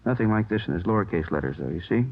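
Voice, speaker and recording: A methodical American police lab expert, with a lowish voice and a halting, explanatory delivery. It sounds like a tinny vintage radio drama. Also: male voice, animated. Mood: absorbed, matter-of-fact. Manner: explanatory, halting, checks listener follows. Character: methodical, unhurried male police handwriting expert. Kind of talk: 1950s radio drama acting. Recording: tinny old radio recording.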